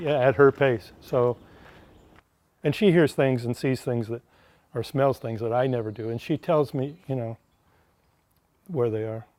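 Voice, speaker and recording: A poet speaking in a thoughtful, halting way, searching for his words during an outdoor interview in a park. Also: mid-range voice, a little mellow. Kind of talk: reflective interview talk.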